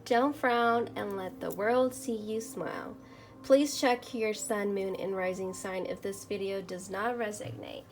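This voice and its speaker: A woman whose first language is Spanish gives a tarot reading at a table, speaking slowly and softly in a middle-pitched voice. She murmurs as she shuffles and reads the card text aloud. Background music plays clearly under her voice. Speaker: tarot reader. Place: indoors at a table.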